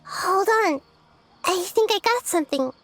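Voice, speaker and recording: Casting: small, wise forest-goddess girl, high sweet pitch, calm and reassuring, game dialogue over faint music. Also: unhurried, exaggerated voice. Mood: focused, gentle. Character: gentle, wise child-sized girl deity of the forest. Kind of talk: video game voice acting.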